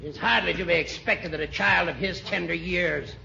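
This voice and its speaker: An actor playing a crotchety, sanctimonious old judge in 1940s radio comedy style, formal, pompous and scolding. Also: male voice, high-pitched, a little fast, vocal fry, bright, forceful tone, heavily acted. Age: older. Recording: muffled, hissy 1940s radio broadcast.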